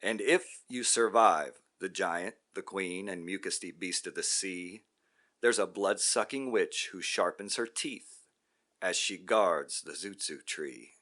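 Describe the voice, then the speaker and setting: A serene, godlike old guru, voiced by a man reading a poem, his voice dropped low and soft. He speaks slowly and evenly, with a bright, clear edge to the timbre. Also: exaggerated voice. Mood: ominous. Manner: calm, low and hushed, drawn out. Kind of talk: poetry reading.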